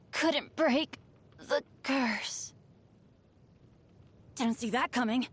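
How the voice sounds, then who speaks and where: Upbeat, unlucky teen boy hero for a game: high, very animated, earnest. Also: heavily acted. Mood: dejected. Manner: earnest, exclamatory. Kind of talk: video game character voice lines.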